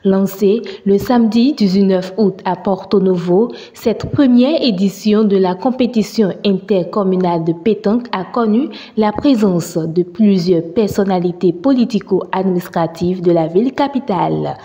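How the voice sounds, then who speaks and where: Mellow woman reporter, heavy reverb. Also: mid-range voice, moderate pace, few pauses. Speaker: news reporter. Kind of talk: scripted news narration. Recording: long reverberant tail on voice.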